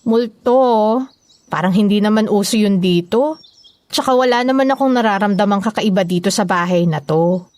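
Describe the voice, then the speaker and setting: Young Filipina wife for audio drama, played by a woman: mid-pitched, inquiring, down-to-earth. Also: normal speed, a little mellow, lightly acted. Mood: skeptical. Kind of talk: audio story drama dialogue.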